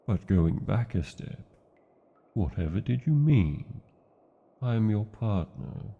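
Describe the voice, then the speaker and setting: A man's voice: lowish voice, big pitch swings, slow pace, creaky, husky, narrow, tinny audio, full character voice. A man with a British accent voicing a large, devoted, proud male dragon in a fantasy ASMR romance, speaking tenderly with theatrical, formal wording. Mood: concerned, proud.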